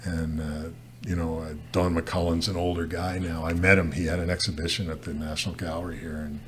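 A man's voice: low-pitched, flat delivery, a little fast. A man in his sixties from Canada, a photojournalist guest talking casually at a studio desk mic, rambling with many restarts and fillers. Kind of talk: casual interview talk. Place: studio set with brick wall. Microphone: desk mic on stand.

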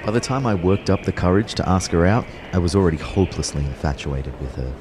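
Guy playing a young trainer narrating his own story, low voice, dry n measured, outdoor noise behind him. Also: animated. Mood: wry, fond. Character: Kyle, young male personal trainer, wry lovestruck first-person narrator. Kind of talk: audio drama narration.

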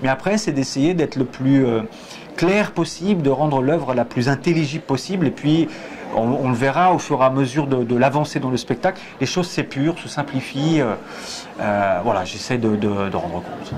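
A man's voice: medium pitch, expressive, moderate pace, darkish tone, a little hiss or hum. A man in his forties, a stage director, answering interview questions thoughtfully with many restarts, seated in a theatre auditorium on a lapel mic. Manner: hesitant, many repeated starts, gestures while talking. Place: theatre auditorium, in a box seat. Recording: busy crowd chatter behind. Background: crowd chatter.

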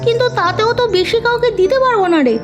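Tiny earnest child, extremely high. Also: female voice, fast, music in the background. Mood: earnest, eager. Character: earnest, kind-hearted little child, very high voice. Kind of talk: children's cartoon acting.

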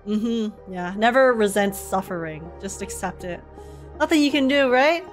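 A woman's voice: mid-range voice, lively intonation, normal speed, soft background music. A woman streamer giving casual, joking live commentary as she reacts to a video game. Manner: wry, joking.

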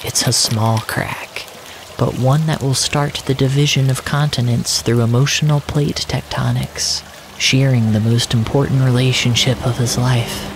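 A man telling a dramatic first-person story in deliberate, drawn-out phrases with frequent pauses. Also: medium pitch, fairly even intonation, noisy background. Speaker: narrator of a fiction podcast. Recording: music playing behind the voice. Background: prominent music.

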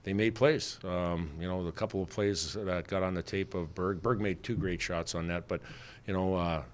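A middle-aged head coach with a low, deep voice talks to reporters into a desk mic in a press room. He speaks plainly, circling back with fillers and false starts as he sizes up his team. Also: steady tone, moderate pace, a little hiss or hum. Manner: plainspoken, many fillers and restarts. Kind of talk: postgame press conference answers. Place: press room with sponsor backdrop. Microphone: desk mic on stand.

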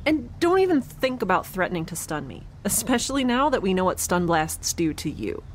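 A woman's voice: medium pitch, sing-song, a little fast, a little hiss or hum, close to an everyday voice. An actress playing a stubborn, wry young woman in a space audio drama, with a casual, quippy delivery. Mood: stubborn, urgent.

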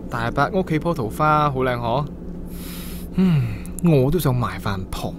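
An actor playing a chatty, earnest young adult son in a radio drama. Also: male voice, mid-range voice, lively intonation, unhurried, close to an everyday voice. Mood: chatty, teasing. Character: young adult son, chatty, earnest, nudging his father toward romance. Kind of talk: radio drama dialogue, conversational.

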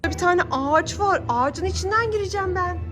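A woman's voice: very high-pitched, fairly quick. A woman hosting a children's play vlog as a mother, coaxing the kids and talking to viewers with exclamations and many questions. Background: music.

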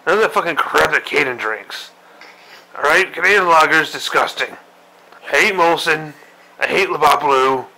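A man voicing a crude, grumpy old male admiral who rants and swears in a gravelly voice. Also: fairly high pitch, lively intonation, normal speed, pushed and bright, exaggerated voice, rough recording. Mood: ranting. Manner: profane, griping rant. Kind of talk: comedic parody monologue.

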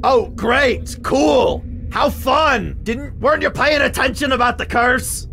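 A man's voice: very high-pitched, slow, creaky, bright, forceful tone, a little hiss or hum, heavily acted. A man playing a theatrical, sarcastic undead pirate captain in an improvised comedy fan dub. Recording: music playing behind the voice.

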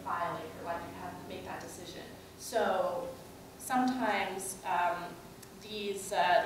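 Young woman speaker, casual lecture delivery full of "um"s and pointed questions; distant camera in a very reverberant hall, room hiss audible. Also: mid-range voice. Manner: conversational, many fillers, rhetorical questions. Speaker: student organizer giving a talk. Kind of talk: conference talk. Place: large lecture room, in front of a projector screen. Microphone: far camera, no mic. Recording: echoey lecture room from far off.

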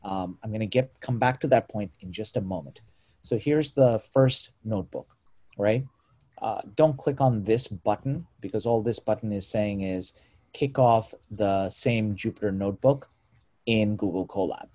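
A man with a lowish, mellow voice talks through a coding tutorial over a video call. His delivery is informal, with quick asides and frequent self-corrections. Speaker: meetup presenter. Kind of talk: live screen-share tutorial.

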